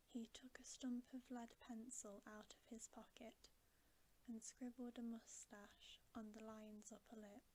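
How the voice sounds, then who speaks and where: A woman whispering a bedtime story in ASMR style, breathy, gentle and hushed. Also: fairly high pitch, slight background noise, rough recording. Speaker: ASMR reader.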